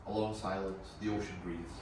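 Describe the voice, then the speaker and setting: Low-voiced man narrating, very echoey. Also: steady tone, brisk. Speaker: narrator reading stage directions. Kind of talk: scripted narration at a table read. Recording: very echoey room, far mic, clear noise.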